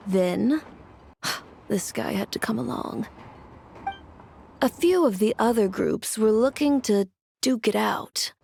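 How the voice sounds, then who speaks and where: Slow, dry, gravelly-edged gang enforcer. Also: female voice, mid-range voice, darkish tone, slight background noise. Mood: weary explaining. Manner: dry, unhurried, world-weary. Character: weary, tough female gang enforcer of a treasure-hoarder order. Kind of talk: video game voice acting.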